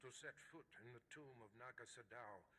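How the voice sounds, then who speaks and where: An actor playing a sinister, commanding Sith overseer in a sci-fi video game, speaking in a grandiose, menacing, measured way. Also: male voice, medium pitch, bright, forceful tone, slight background noise, lightly acted. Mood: grave, ominous. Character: sinister male Sith overseer, commanding, coldly pleased schemer. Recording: dramatic music under the voice.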